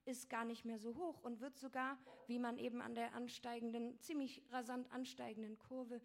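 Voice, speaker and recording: A woman's voice: fairly high pitch, little pitch movement, normal speed. A young woman giving an explanatory talk with slides, fluent but with frequent fillers and restarts. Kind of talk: presentation with slides.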